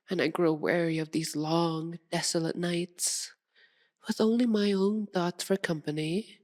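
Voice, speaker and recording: A woman playing a possessive, sadistic female vampire in a theatrical ASMR roleplay, teasing and seductive, with a purring drawl that slips into breathy whispers. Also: fairly low pitch.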